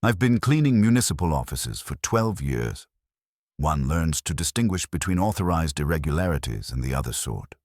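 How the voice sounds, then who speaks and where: A man's voice: a bass voice, sing-song, moderate pace, a little mellow, dry recording, studio quality, exaggerated voice. A man voicing a prim, observant woman office cleaner in a radio mystery, speaking precisely and formally. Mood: firm, insistent.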